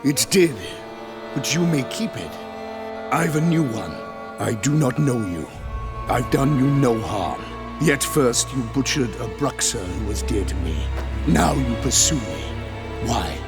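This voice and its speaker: A man's voice: mid-range voice, animated, unhurried. A man playing a brooding, menacing vampire in a video game, speaking in terse, formal, intense phrases. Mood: cold, accusing. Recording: loud music over voice.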